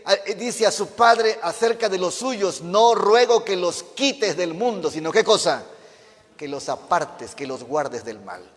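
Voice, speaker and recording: High-voiced pastor preaching fervently. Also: male voice. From Mexico. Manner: fervent preaching with rhetorical questions to the congregation. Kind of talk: sermon. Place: church. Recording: reverberant church hall.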